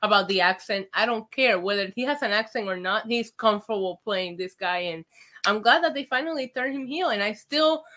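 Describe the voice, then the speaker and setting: A woman from Puerto Rico co-hosting a casual wrestling review chat, animated and chatty with lots of "like". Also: medium pitch, a little fast. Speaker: wrestling show co-host.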